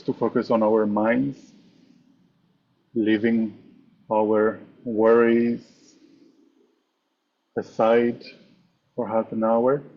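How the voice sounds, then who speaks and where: A man leading a guided meditation as a teacher, speaking in measured, instructive short phrases with pauses. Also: mid-range voice, lo-fi sound, a little hiss or hum. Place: online meditation session over video call.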